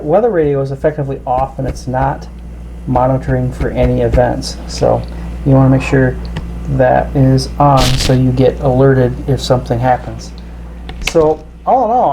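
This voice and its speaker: A man giving a casual, halting, explanatory product demonstration as a reviewer. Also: mid-range voice, warm tone, slight background noise, poor audio.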